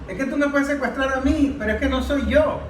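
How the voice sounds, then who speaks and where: A high-pitched male voice with a Venezuelan accent comes across a roomy space, some way from the mic. He argues emphatically in an informal panel discussion, throwing out rhetorical questions. Faint noise sits behind him. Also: brisk, recorded from a distance. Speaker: political analyst on a panel.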